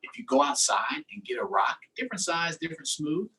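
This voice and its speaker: A man's voice: sits high, expressive, a little fast, vocal fry, pushed and bright, far from the mic. A man hosting a storytime, reading aloud and chatting simply and encouragingly with young children. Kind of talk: read-aloud and casual chat to young children.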